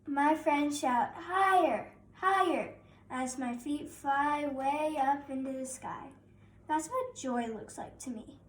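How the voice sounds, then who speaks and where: Child female narrator, around nine: high voice, measured slow storybook reading, recorded in an echoey room. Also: fairly even intonation, a little mellow, recorded from a distance. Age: child, about nine. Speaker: reader of a picture book. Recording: roomy echo, faint background noise.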